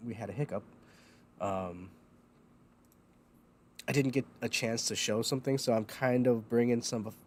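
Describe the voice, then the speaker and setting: A man's voice: mid-range voice, steady tone, normal speed, long pauses, a little mellow, a little hiss or hum. A man hosting a casual livestream chat, rambling with lots of ums, feeling a bit loopy and foggy. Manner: rambling, many ums and uhs, laughs at himself. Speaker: host.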